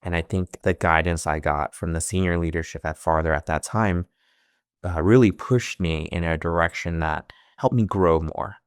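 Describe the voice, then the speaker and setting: A man in his thirties with a low, smooth, resonant voice, speaking earnestly as an interview guest. He grew up in America speaking Chinese at home first, and he often restarts his phrases. The sound is dry and close. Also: normal speed, no echo. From United States. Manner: earnest, with frequent restarts and fillers. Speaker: financial advisor, interview guest. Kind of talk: interview answers.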